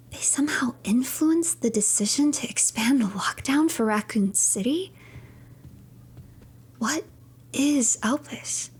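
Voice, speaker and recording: A woman narrating a video game playthrough as a dramatic story, in a theatrical, deliberate manner. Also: fairly high pitch, husky.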